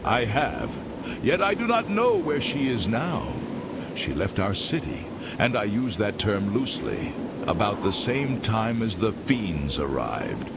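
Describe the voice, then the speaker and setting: An actor playing an ancient, weary former priest damned to an endless abyss, speaking slowly and solemnly. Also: male voice, fairly low pitch, sing-song, creaky, heavy background noise, exaggerated voice. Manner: slow, solemn, drawn-out delivery. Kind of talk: game character dialogue. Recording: loud rain and thunder behind.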